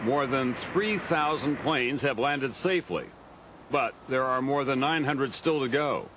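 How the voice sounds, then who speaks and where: A man with a mid-pitched voice reads scripted documentary narration. He is the reporter telling the story. The recording is dull and lo-fi, with faint noise underneath. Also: lively intonation, unhurried.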